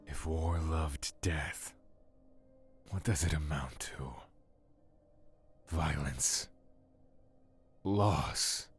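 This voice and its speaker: Death himself, super low slow voice, tender and grave, audio drama w/ music under. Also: male voice, exaggerated voice. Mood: grave, intense. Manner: measured, philosophical. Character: male personification of Death, solemn, tender, assured lover.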